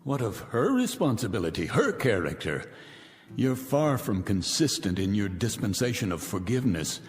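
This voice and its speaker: An actor playing an arrogant, vengeful millionaire in a radio drama, speaking in a theatrical, pompous, taunting and articulate way. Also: male voice, lowish voice, sing-song, normal speed. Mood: wounded, scornful. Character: vengeful, arrogant millionaire man holding old enemies captive. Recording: reverberant radio drama with music underneath.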